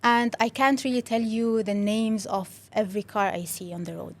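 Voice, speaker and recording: A woman's voice: fairly high pitch, normal speed, slight background noise. Young syrian woman talking on an interview, arabic accent, chatty with lots of ums and restarts. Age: young adult. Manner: friendly, many fillers and restarts, slips into Arabic fillers. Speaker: interview guest, creative director. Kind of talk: casual interview talk.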